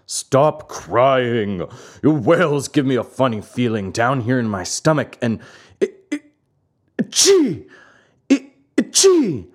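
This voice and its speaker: A man voicing a huge, bearded, gruff but soft-hearted puppet master in a commanding, theatrical storybook style. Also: mid-range voice, slow, lightly acted. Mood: squeamish, about to sneeze.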